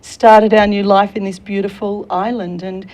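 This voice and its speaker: A woman's voice: mid-range voice, level tone, moderate pace, poor audio. An Australian woman in her forties, an enthusiastic career consultant talking as a guest in a studio interview. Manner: warm, enthusiastic, laughs often.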